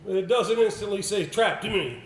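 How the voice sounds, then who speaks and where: A man acting in an amateur stage play as a polite, doubtful senior solicitor, speaking in a halting, formal, measured way. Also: high voice, lightly acted. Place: indoor theatre stage. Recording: echoey stage recording with background hiss.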